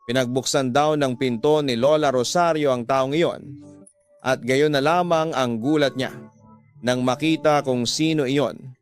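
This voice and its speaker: A man's voice: medium pitch, steady tone, a little slow. A man giving scripted narration as the storyteller of a radio drama. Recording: music bed under the voice.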